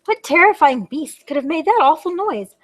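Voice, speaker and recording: A woman voicing a little storybook dragon, boastful and easily startled, with grandiose drawn-out declarations. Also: high-pitched, expressive, no echo, pitched above the performer's own voice. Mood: alarmed. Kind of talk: storybook read-aloud.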